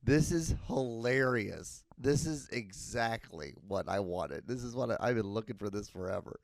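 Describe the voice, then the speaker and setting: A man's voice: mid-range voice, vocal fry, a little mellow, no echo. A man hosting a casual solo podcast, rambling crudely and tripping over his words as he reads posts aloud. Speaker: podcast host.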